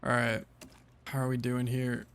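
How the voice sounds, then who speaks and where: Calm, low-key man streaming a game. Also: measured pace, clean tone. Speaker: streamer playing a shooter game. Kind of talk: casual gameplay commentary.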